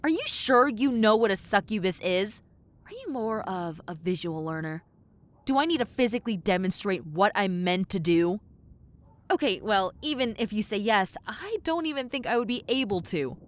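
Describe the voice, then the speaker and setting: A woman's voice: medium pitch, lively intonation, normal speed, natural voice. A woman playing a centuries-old tomboy succubus in an audio roleplay, wry and flustered but kind, talking casually to the listener. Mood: baffled.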